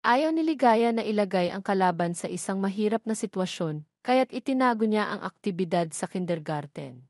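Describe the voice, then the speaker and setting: A woman's voice: mid-range voice, expressive, moderate pace, a little mellow, dry recording, clean studio sound. A woman reading scripted narration for an audio drama love story.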